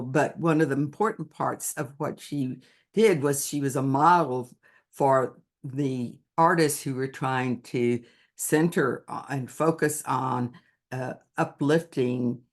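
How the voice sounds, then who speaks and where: A woman presents to teachers over a computer microphone, in the unhurried manner of someone thinking as she goes. She speaks at a slow pace and keeps her delivery measured and explanatory. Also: rumbling low. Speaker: picture book author presenting to teachers. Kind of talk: online presentation to educators.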